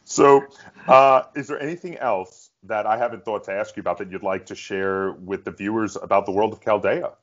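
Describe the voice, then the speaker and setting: Male interview host, lowish pitch, casual, wordy questioning, slightly muffled lo-fi audio. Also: fairly even intonation, moderate pace.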